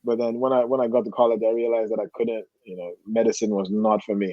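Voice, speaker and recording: A man, telling personal stories casually and haltingly as a guest, often rephrasing as he reflects. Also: lowish voice, little pitch movement, no room sound, muffled recording. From Nigeria. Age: 40s. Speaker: podcast guest.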